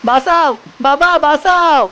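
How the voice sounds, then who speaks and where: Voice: sits high, measured pace, bright, forceful tone, dull audio. A woman voicing a young village boy with a rural Bangladeshi accent in a dramatized story. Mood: terrified, pleading. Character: frightened young boy, Rafi, village child.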